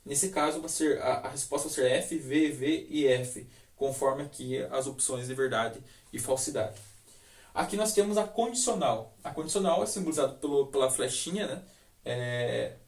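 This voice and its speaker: A male teacher with a Brazilian accent talks through a lesson at a slightly raised pitch, full of fillers and questions to the viewers. He stands some distance from the mic. The room around him rings with echo. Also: darkish tone. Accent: Brazilian Portuguese. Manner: explanatory, many fillers and rhetorical questions. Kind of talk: recorded logic lesson.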